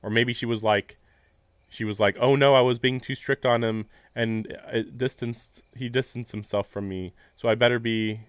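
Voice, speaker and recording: A man's voice: medium pitch, fairly even intonation, moderate pace, no echo. A man live-streaming casual game commentary and reading narration aloud, rambling and musing in a jokey way.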